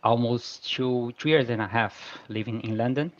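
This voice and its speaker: A man's voice: medium pitch, normal speed, no room sound, highs cut off. A man from Brazil with a Brazilian Portuguese accent, chatting casually as a guest and searching for words as he goes. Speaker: guest speaker. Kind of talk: casual video-call chat.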